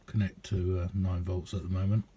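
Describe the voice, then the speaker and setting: A man giving a casual, rambling hands-on demonstration as a radio hobbyist, chatty and hesitant in an unhurried way. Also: deep voice, little pitch movement, warm tone, slight background noise.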